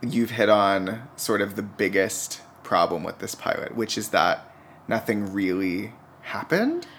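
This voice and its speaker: Man, low voice, casual podcast guest, informal and emphatic delivery. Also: big pitch swings, unhurried, a bit bright, slight background noise. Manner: informal, emphatic, frequent "like" and "right?". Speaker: podcast guest reviewing TV pilots. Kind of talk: casual chat.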